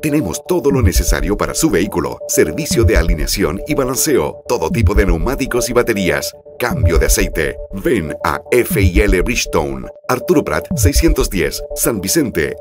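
A man reading a scripted commercial as an announcer. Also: deep voice. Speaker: advert announcer. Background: prominent music.

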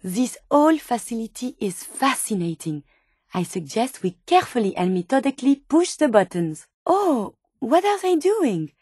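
Game role: earnest female robot scientist, French accent, high register, precise formal diction, very dry sound. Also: very animated, normal speed, a little mellow, husky, dry recording. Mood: curious. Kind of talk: video game companion dialogue.

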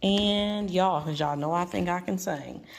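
A middle-aged woman, chatting casually to viewers in a folksy, playful, expressive way. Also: lowish voice, unhurried, gravelly. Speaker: lifestyle vlogger.

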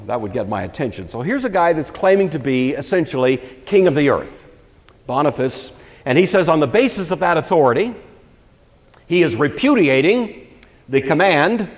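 An older man gives a church history lecture in an emphatic, wryly humorous storytelling manner. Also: fairly high pitch. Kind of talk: lecture to a live group. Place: indoor lecture hall or church room, at a lectern. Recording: reverberant hall.

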